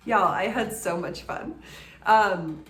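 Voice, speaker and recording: American woman, 30s, congested with a cold, unhurried and chatty vlog delivery, roomy echo. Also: medium pitch. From Colorado, United States. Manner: chatty, rambling, with many ums and likes. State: sniffly with a cold. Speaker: knitting vlogger and doctor. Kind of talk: casual chat to camera. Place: living room at home, indoors. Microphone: camera in front at desk distance. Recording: echoey room.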